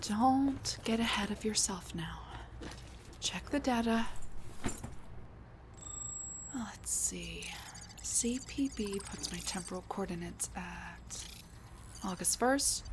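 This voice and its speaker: A woman voicing a scatterbrained older woman scientist who has traveled back in time to meet her husband. She stammers and restarts, full of fillers, and sometimes drops to a hushed mutter. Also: medium pitch, takes it slowly, noisy background. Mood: muttering, checking. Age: middle-aged. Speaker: audio roleplay performer. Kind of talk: audio roleplay drama.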